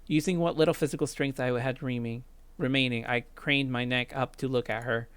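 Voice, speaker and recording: A man's voice: mid-range voice, fairly even intonation, fairly quick, clean tone, dry recording. A man reading a visual novel aloud as a let's player, with dramatic narration. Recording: loud music under voice. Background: loud game music.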